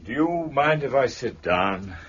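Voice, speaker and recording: An actor playing an urbane, self-assured gentleman detective with dry wit and teasing politeness in an audio drama. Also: mid-range voice, expressive, muffled recording, slight background noise. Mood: coolly challenging. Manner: unhurried, teasing, needling politeness.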